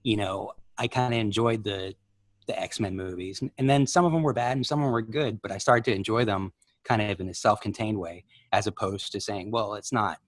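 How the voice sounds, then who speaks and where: A man with a somewhat deep voice, recorded tight with no room sound. He chats as a podcast co-host, laying out his thoughts in a measured, conversational way with the odd dry quip. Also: no echo. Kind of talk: casual conversational chat.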